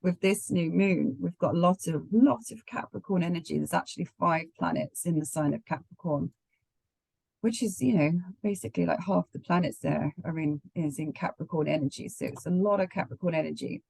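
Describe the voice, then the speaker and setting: Woman astrologer, sick and croaky, casual and chatty, lots of ums. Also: on the low side, fairly quick, darkish tone, no room sound, rough recording. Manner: chatty, laughs often, many ums. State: croaky from the flu. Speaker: astrologer co-host.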